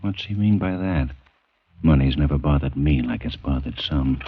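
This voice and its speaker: Extremely deep, sinister inner voice. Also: male voice, normal speed, background noise. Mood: suspicious, urgent. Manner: sardonic, insinuating. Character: cynical, suspicious inner voice of a Southern planter. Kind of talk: 1940s radio drama narration. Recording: dry, dull 1940s radio recording.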